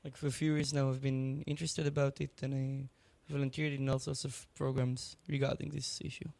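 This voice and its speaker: A young man from Israel doing national service, answering interview questions in a soft, thoughtful, hesitant way. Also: medium pitch, flat delivery, moderate pace, gravelly. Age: young adult. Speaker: guest, aid worker.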